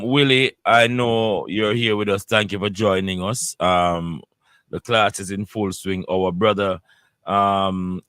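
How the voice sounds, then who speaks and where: A man's voice: low-pitched, fairly bright tone, no echo, clean studio sound. A man hosting an online workshop, polite and hesitant, handing the floor to a guest. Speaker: workshop host. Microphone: video call.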